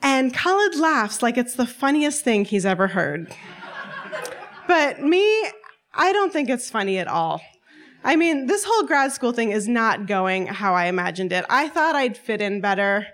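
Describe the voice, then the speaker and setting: A woman's voice: a little high, measured pace. A woman in her twenties telling a personal story to a live audience on stage, wry and often laughing as she talks. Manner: lively, wry, often laughing through lines.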